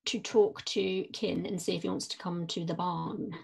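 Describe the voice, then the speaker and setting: A woman's voice: lowish voice, steady tone, moderate pace, creaky, darkish tone, slightly rough. A woman playing a tabletop game, talking in a casual, tentative way.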